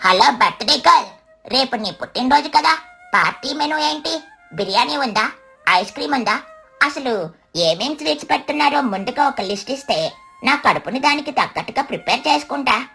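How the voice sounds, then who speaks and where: A woman's voice: high-pitched, expressive, normal speed, gravelly, pushed and bright, faint music behind, exaggerated voice. A woman voicing a greedy, chubby young boy parrot in a children's cartoon story, indignant and boastful. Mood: eager.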